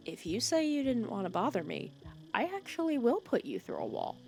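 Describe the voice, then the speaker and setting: Girl doing a villainess for asmr roleplay, bit of fry, really lively pitch, scolds you but cares, sarcastic, goes hushed. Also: brisk, faint music behind. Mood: exasperated scolding. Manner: scolding yet caring, sarcastic, dropping into hushed breathy asides. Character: teasing, protective female villain nursing her sick hero nemesis. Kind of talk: ASMR roleplay audio drama.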